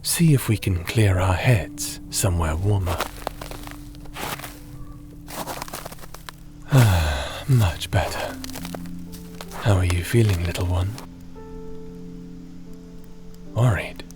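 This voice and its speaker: A man playing a gentle, cautious fantasy companion in an ASMR roleplay, speaking in a measured, soothing, slightly formal way. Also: sits low, expressive. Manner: measured, soothing, slightly formal, sometimes breathy near-whisper. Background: music.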